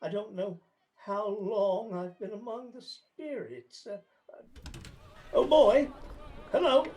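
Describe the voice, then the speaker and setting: An actor playing a fervent, repentant old miser in a radio play. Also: male voice, sits high, lively intonation, slow, a little mellow. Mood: fervent joy. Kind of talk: stage-style radio play acting. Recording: clearly noisy background ambience.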